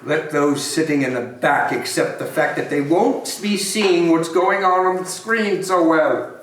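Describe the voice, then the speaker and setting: A man's voice: a little high, a little slow, fairly bright tone. A man playing a curt, miserly, grumpy old businessman in an amateur comic play reading, dismissive and grumbling. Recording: very echoey room, distant mic, faint noise.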